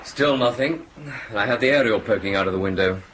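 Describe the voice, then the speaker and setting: Actor as rapid, low-voiced aircrew officer. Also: male voice, a bit bright, slight background noise, close to an everyday voice, low-quality recording. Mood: baffled. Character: practical airliner crew officer, careful and technical. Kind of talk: radio drama acting.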